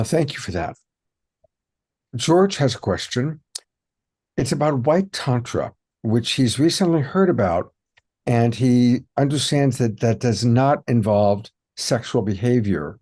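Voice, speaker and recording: A man's voice: mid-range voice, expressive, slow pace, darkish tone, breathy edge. A man hosting an online show, reading out questions from the audience. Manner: plain, reads listener questions aloud. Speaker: show host.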